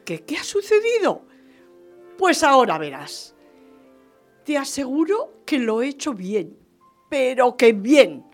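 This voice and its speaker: Exuberant, high-voiced scheming marquise. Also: female voice, sing-song, slow pace, long pauses, faint music behind, exaggerated voice. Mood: conspiratorial, indignant. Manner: theatrical, gushing, repeating phrases for emphasis. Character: exuberant, scheming, vengeful French marquise, gleeful about her marriage. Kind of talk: radio theatre acting of a period story.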